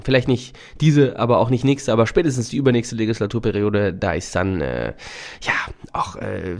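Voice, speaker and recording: A young man who is a lawyer, talking as a podcast guest in an eager, casual way with many fillers. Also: lowish voice. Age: young adult. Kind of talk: casual interview talk.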